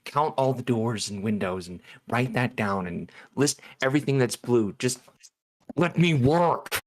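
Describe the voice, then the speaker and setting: A man's voice: medium pitch, lively intonation, measured pace, lightly acted. A man playing an absent-minded, exasperated father of forty in an amateur screenplay table read. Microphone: video call.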